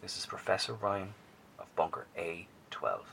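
An actor playing a professor who broadcasts to survivors from a bunker, speaking formally and gravely, often dropping to a hushed tone. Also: male voice, sits low, animated, slight background noise, heavily acted, low-quality recording. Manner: measured, formal, hushed at times. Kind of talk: horror audio drama acting.

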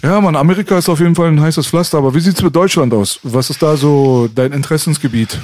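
A man hosting a podcast, telling stories in casual, slangy, animated chat. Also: a little high, fast, a little hiss or hum. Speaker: podcast host.

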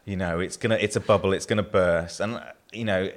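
A man's voice: low-pitched, fairly even intonation, fairly quick. A man with a southern English accent, a brewer being interviewed, who talks in a rambling way with many restarts. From southern England.

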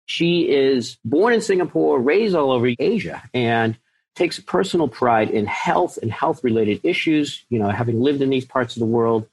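A man's voice: mid-range voice, slightly rough, poor audio. A man hosting an interview podcast, enthusiastic, often repeating words as he starts a sentence. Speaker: podcast host.

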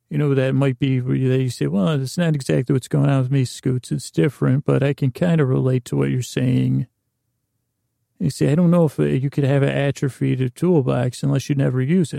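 Super creaky guy doing a sleepy bedtime podcast, really dark relaxed voice, kinda meandering and halting. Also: mid-range voice, no room sound, clean studio sound. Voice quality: gravelly, creaky. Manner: halting, meandering, repeats and trails off. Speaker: podcast host. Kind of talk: rambling, soothing bedtime monologue.

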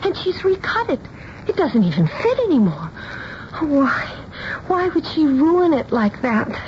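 An actress playing an outspoken, distraught young bride-to-be in a 1970s radio drama, plaintive and indignant. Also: female voice, sits high, very animated, measured pace, a little mellow. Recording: dull, noisy 1970s radio recording.

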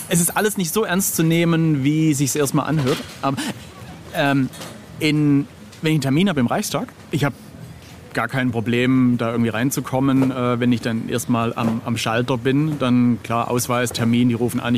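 A man's voice: mid-range voice, fairly even intonation, moderate pace, slight background noise. A middle-aged man talking casually as an interview guest, hesitant and drily self-deprecating. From Germany.

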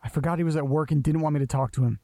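A man's voice: a little high, steady tone, rapid-fire, mellow, no echo, close to an everyday voice. An actor playing an anxious, self-berating man who mutters hesitantly to himself in a naturalistic audio drama. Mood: flustered, self-loathing.

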